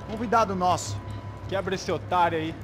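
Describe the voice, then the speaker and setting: An actor playing a rough Brazilian tough in a game, curt and slangy. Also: male voice, sits high, fast, lightly acted. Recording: loud music behind the voice.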